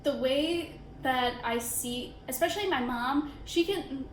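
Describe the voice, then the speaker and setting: A woman's voice: sits high, slow, noisy background. Thoughtful young woman in an echoey room. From India, raised in Chicago suburbs. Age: 20s. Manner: reflective, many "like" fillers. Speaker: interviewee, architecture student. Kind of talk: casual interview.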